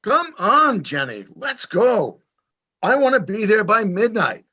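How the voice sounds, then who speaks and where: A man's voice: high voice, very animated, takes it slowly, pushed and bright, breathy edge, lo-fi sound, heavily acted. A man playing a teasing, restless middle-aged husband and father in an amateur radio play, coaxing and playful.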